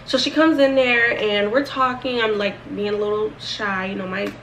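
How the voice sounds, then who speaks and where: A woman's voice: mid-range voice, fairly even intonation, normal speed, fairly bright tone, distant mic, a little hiss or hum, poor audio. A young woman in her early twenties telling a casual storytime, rambling and chatty with many fillers and restarts. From Florida, United States. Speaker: vlogger.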